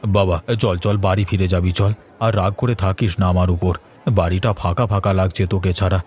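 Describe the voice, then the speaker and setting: A man playing a tender, remorseful middle-aged village father in a cartoon folk tale, speaking gently and hesitantly. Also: low voice, level tone, normal speed, natural voice. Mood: pleading.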